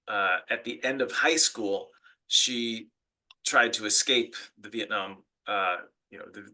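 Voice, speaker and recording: A man's voice: fairly low pitch, bright, forceful tone, poor audio. A middle-aged man with an American accent giving an online lecture, telling stories earnestly with fillers and restarts. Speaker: academic speaker.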